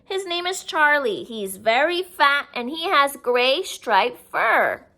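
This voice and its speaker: A woman plays a young storybook girl, worried and insistent. The voice is high and bright, pleading with lively emphasis. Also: takes it slowly, exaggerated voice. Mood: worried, searching. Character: two worried, insistent young sisters seeking their cat.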